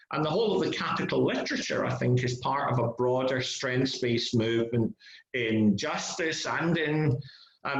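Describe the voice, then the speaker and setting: Middle-aged man, Scottish-Northern Irish accent, hesitant academic lecturer over a dull, echoey call line. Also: medium pitch. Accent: Scottish and Northern Irish mix. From Scotland. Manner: self-deprecating, hesitant with repeated restarts. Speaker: guest lecturer. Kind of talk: academic presentation. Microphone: video call.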